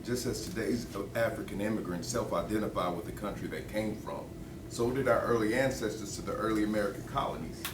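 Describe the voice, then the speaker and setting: A man's voice: lowish voice, a little fast, lightly acted. A man at a table read playing an outspoken man debating history in a conversational, emphatic way. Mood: explanatory. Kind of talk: script table read of docudrama dialogue. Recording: roomy, distant mic, noisy background.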